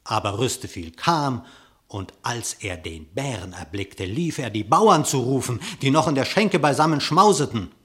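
A man narrating a verse fable theatrically, with dramatic, expressive declamation. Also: mid-range voice, normal speed. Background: music.

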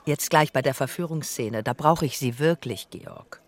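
A woman's voice: rumbling low, lively intonation, moderate pace. An actress in a radio drama playing a vain, imperious female film director and star, prickly, self-important and theatrical. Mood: commanding.

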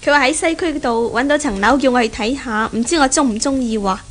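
A woman's voice: high-pitched, fast, lightly acted. An actress playing a polite, earnest young woman engaged to be married, in a 1970s Cantonese radio drama with a Hong Kong accent. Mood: light, dutiful. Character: polite, earnest young bride-to-be, university graduate daughter. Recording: 1970s radio recording, faint noise.

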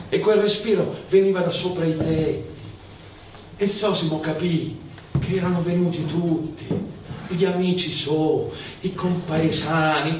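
A man's voice: fairly high pitch, darkish tone. A man narrating a folk tale theatrically, in a dramatic, incantatory manner with repeated phrases. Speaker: storyteller and stage actor. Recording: roomy, distant mic, faint background noise.

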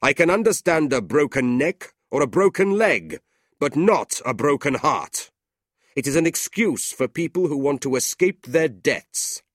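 A man's voice: mid-range voice, very animated, a bit bright, dry recording, studio quality, voice pushed up. A man voicing a cold, scornful older businessman uncle with a curt, sneering delivery in a storybook reading. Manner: curt, sneering, drawn-out emphatic words.

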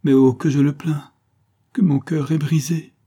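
A man's voice: on the low side, normal speed, warm tone, slightly rough, no room sound, clean studio sound. A man voicing a heartbroken, resolute young woman, emphatic and pleading, in a melodramatic storybook reading. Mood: anguished.

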